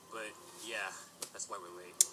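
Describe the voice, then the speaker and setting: A man's voice: fairly low pitch, pushed and bright, full character voice. A man voicing an easygoing, teasing male pony. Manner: teasing, laid-back. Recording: noisy amateur recording.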